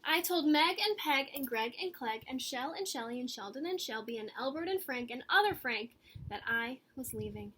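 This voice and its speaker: A woman voicing an earnest, eager good egg telling its own story from a picture book, with expressive pauses and emphasis. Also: high voice, a little hiss or hum. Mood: stressed, fed up. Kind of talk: picture-book read-aloud.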